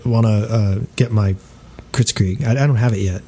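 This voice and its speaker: A man's voice: lowish voice, normal speed, warm tone, husky. A man chatting casually as a panelist on a gaming podcast, hesitant and inquisitive. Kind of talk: casual chat about gaming.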